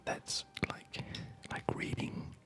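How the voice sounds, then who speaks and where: A man whispering breathily in a gentle, unhurried, rambling way, as host of an ASMR gaming video, close to the microphone. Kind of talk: whispered ASMR chat over gameplay.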